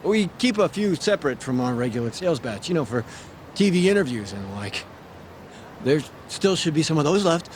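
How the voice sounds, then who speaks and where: A nervous male shopkeeper in a game cutscene, with a highish, swooping voice. He stammers and defers politely, over faint background noise. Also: very animated, brisk. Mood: grateful, eager. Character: timid, flustered, grateful male sweets-shop vendor. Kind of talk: video game character acting.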